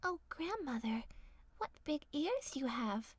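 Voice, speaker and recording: Lady doing a little storybook girl, sweet n naive, high polite voice, dry close sound. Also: very animated, takes it slowly, no room sound, exaggerated voice. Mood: puzzled. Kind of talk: fairy tale storybook voice.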